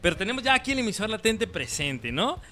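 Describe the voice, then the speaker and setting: A man's voice: high-pitched, very animated, quick pace, bright, forceful tone, faint music behind. A man with a Mexican accent hosting a casual radio interview, informal and repeating phrases for emphasis. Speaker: radio show host.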